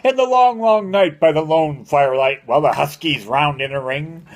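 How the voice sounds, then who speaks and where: A man's voice: a little high, normal speed, noisy background. An older man reading a narrative poem aloud as a grandfather would, with a rhythmic, theatrical storytelling delivery. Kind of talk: dramatic amateur poem recitation.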